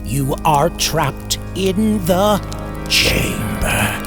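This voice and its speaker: Creepy old radio style guy telling horror, big pitch swings, drawn out, music behind. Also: sing-song. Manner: ominous, drawn-out, dramatic delivery. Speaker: horror storyteller host. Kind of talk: theatrical scripted horror narration, old-time radio style. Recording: music under the voice.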